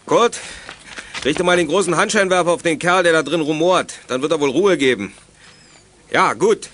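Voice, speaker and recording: A man playing a gruff, impatient policeman, brusque and official, in a youth radio drama. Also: on the high side, moderate pace, fairly bright tone, a little hiss or hum, lightly acted. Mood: commanding. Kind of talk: 1980s German youth radio drama acting.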